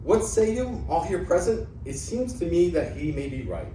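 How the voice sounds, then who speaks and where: An indignant, gullible old father from ancient Greek comedy, acted by a man in a staged reading. His voice sits fairly high for a man and he declaims his grievances, now and then tripping over words. It is heard from off the mic in an echoey room. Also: very animated, moderate pace, mellow. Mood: rueful. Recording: echoey room, distant mic, faint noise.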